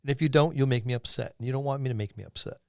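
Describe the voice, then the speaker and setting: A man speaks as an imposing dragon patron from a tabletop game. The dragon sets terms firmly and threatens quietly. The pitch is an ordinary male middle, and the sound is dry. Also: rapid-fire, vocal fry, no echo, natural voice. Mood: stern bargaining.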